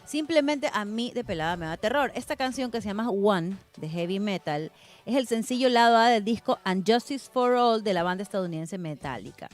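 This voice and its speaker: A woman's voice: mid-range voice, animated, a little fast. A woman co-hosting a talk show, chatting casually in a colloquial, emphatic way and introducing songs. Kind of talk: casual conversation and song introductions.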